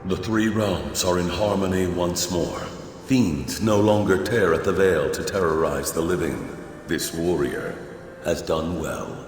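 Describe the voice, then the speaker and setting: An actor playing a solemn otherworldly keeper of spirit realms in a fantasy game, speaking in a formal, grave manner. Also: male voice, low voice, expressive, a little slow. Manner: formal, grave, speaks of self in third person. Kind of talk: scripted fantasy game dialogue. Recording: echoey long reverb, music behind.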